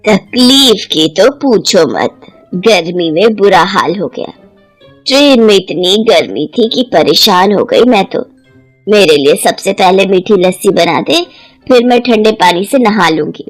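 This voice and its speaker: A woman's voice: mid-range voice, animated, a little slow, dry recording. A woman voicing a suspicious, grumbling old mother-in-law in a cartoon story, muttering and complaining. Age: older. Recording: music behind the voice.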